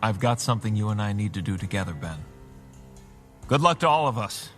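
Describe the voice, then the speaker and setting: An actor playing a calm, commanding vigilante leader in an audio drama, speaking with measured authority. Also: male voice, lowish voice, music in the background, lightly acted.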